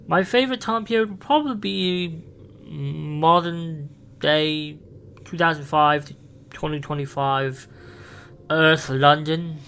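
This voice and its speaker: A man playing an eccentric, excitable time traveler who rambles with enthusiasm in a fan roleplay. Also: on the high side, smooth, full character voice. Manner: enthusiastic, rambling, quick bursts.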